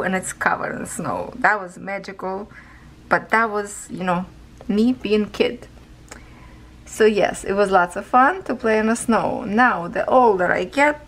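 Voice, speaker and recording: A woman with a hoarse voice, chatting casually as a craft channel host while she stitches beads at home. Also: on the high side, low-quality recording. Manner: rambling, chatty, loose grammar. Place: at home, seated with canvas on lap.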